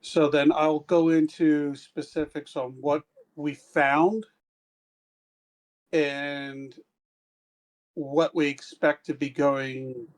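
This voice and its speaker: A man playing a tabletop game, speaking in a slow, hesitant way. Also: a little high, poor audio. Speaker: player.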